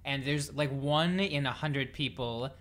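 A woman with a very low voice talks about new songs on a music show. She rambles, circles back and leans on fillers, and she sounds openly excited about the music. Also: a little slow. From Saint Paul, Minnesota. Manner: rambling, many fillers, enthusiastic.

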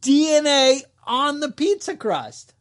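Man, high voice, podcast co-host, casual storytelling, wisecracking. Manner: wisecracking, lively, puts on quick character voices.

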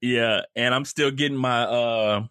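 A man's voice: mid-range voice, steady tone, measured pace, bright, forceful tone, smooth, no echo, clean studio sound. A man co-hosting a casual sports podcast, talking in an easygoing, friendly way. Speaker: podcast co-host.

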